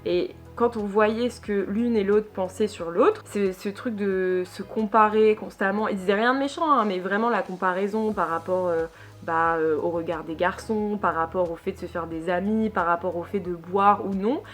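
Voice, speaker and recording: A woman's voice: medium pitch, normal speed, faint music behind. Chatty young woman reviewing a book. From Lille, northern France. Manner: chatty, many fillers and restarts. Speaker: book vlogger. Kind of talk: casual book review monologue.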